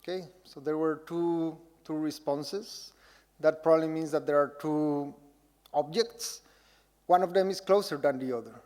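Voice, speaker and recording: High-voiced man lecturing in a reverberant hall. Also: steady tone, unhurried. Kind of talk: public lecture with audience participation. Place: large lecture hall, before an audience. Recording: reverberant hall with loud background noise.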